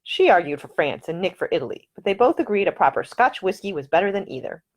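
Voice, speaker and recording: Woman author narrating her novel, calm and even, low-pitched. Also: quick pace. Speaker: author reading own novel. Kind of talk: scripted audiobook narration.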